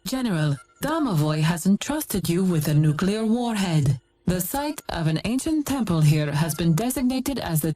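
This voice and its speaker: An actress playing a female adviser giving a brisk mission briefing. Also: fairly low pitch, dark tone.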